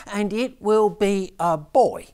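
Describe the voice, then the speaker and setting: A self-conscious little boy in a school nativity play, reciting his angel lines in a flat, deadpan voice. A man acts him out, pitched high and slowed down. He plods through each line as if reading from memory. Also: clean tone. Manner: deadpan, flat, slow and halting.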